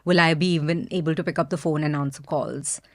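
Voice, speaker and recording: Indian woman talking soft and honest on a podcast, lowish voice. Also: steady tone, fast talker. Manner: soft-spoken, candid, thoughtful. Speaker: guest, business founder. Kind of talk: reflective podcast interview.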